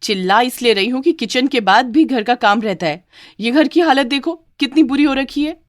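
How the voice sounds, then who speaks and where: A woman voicing a spoiled, arrogant young daughter-in-law in a cartoon story, high and demanding. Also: expressive, normal speed, dry recording, clean studio sound, lightly acted. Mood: angry. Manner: high, demanding, sugary to elders, sharp to the maid.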